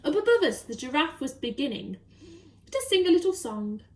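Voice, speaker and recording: A woman reading a children's story aloud expressively, with dramatic, deliberate emphasis. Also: high voice, big pitch swings.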